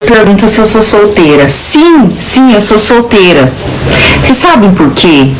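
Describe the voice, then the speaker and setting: Frank thirtysomething woman, pitch all over the place, cars going by. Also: sing-song, a little slow, a little hiss or hum, rough recording. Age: 30s. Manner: emphatic, frank, repeats points. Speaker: single woman addressing viewers. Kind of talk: casual chat to camera.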